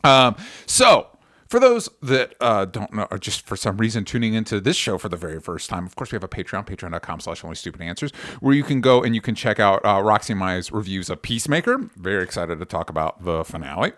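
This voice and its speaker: A man hosting a podcast in a casual, animated, informal way, chatting and making show announcements. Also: on the low side, quick pace, dry recording, clean studio sound. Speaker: podcast host.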